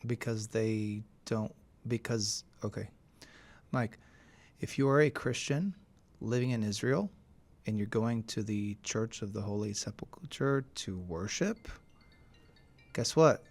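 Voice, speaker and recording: A man's voice: lowish voice, slow, pauses often. A man co-hosting a podcast, who argues his opinions in a casual, emphatic and insistent way. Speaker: podcast co-host.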